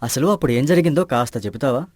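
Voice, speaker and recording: A man voicing a level-headed village friend who asks patient questions in an animated moral story, reassuring and inquisitive. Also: medium pitch, fast talker, no room sound, studio quality, lightly acted. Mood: calm, tactful.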